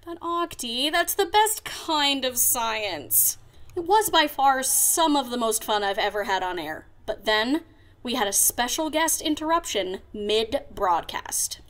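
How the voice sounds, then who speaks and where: A woman's voice: a little high, expressive, a little slow, fairly bright tone. A woman playing a brash, mischievous young female pony who hosts a radio show in an audio drama, with a playful, cheeky delivery. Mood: playful mischief. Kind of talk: fan audio drama acting.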